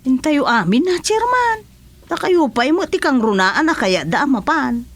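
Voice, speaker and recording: A woman's voice: sits high, sing-song, normal speed. A woman with an Ilocano accent playing a chatty village woman in a radio comedy-drama, bringing local news with frequent interjections. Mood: coaxing. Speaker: actor in a radio serial.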